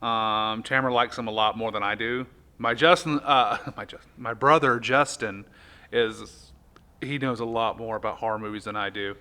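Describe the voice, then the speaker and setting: A man's voice: fairly low pitch, steady tone, normal speed, pushed and bright. An American man hosting a casual podcast chat with playful, wry banter. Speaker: podcast host.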